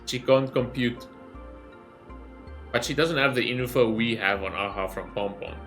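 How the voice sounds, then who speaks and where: A man's voice: medium pitch, measured pace, fairly bright tone, faint music behind. A man reacting casually to a game story as a streamer, musing aloud with wry questions. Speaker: gaming streamer.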